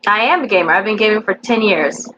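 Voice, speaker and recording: A woman from the American East Coast tells her story as a guest, earnest and animated. Her voice sits at a middle pitch. The sound is a little dull and lo-fi, with a faint hiss behind it. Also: fast. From East Coast of the United States. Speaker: guest, cybersecurity educator.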